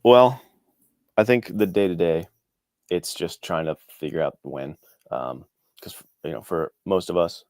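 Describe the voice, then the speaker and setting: Male interview guest, very deep voice. Earnest, encouraging, reflective and conversational, with a dry sound. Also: measured pace, darkish tone, no room sound. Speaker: interview guest, indie author. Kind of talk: casual chat, reflective answers.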